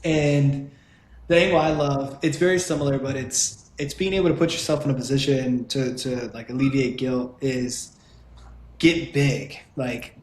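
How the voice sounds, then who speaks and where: A man's voice: mid-range voice, fairly even intonation, darkish tone. A man hosting an interview, casual and enthusiastic, often chiming in with agreement. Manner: enthusiastic, many quick agreements. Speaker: podcast host. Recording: roomy, faint background noise.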